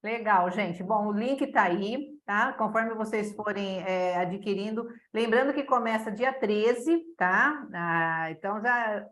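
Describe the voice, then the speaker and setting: A woman's voice: mid-range voice, slow, hardly any pauses, a bit bright. A woman from Santo André with a São Paulo state Brazilian accent, hosting a course on a casual video call and ending phrases with tag questions. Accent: São Paulo state Brazilian Portuguese. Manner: chatty, many tag questions. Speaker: course host.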